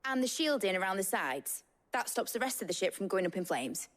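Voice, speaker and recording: A woman playing an animated young Northern English engineer. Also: mid-range voice, fairly quick, lightly acted. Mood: proud, eager. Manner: animated, colloquial, boastful. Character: brash, enthusiastic young female engineer. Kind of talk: lively game cutscene acting. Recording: music behind the voice.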